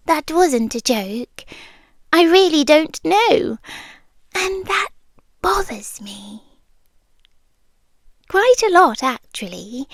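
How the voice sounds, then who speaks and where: A woman's voice: sits high, no echo, clean studio sound, full character voice. A British woman playing an ancient, possessive, lovelorn forest monster in an intimate ASMR roleplay, drifting into whispers. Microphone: close microphone for headphones.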